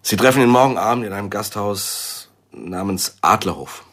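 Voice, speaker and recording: A guarded secret-service man in a radio crime drama. His voice is very low and husky, and he sets out his business in measured, deliberate phrases. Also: fairly bright tone.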